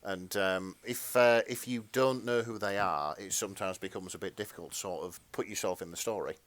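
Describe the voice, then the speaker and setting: A man co-hosting a podcast in casual chat, with a hesitant, halting delivery. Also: low voice, fairly bright tone, dry recording. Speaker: podcast co-host.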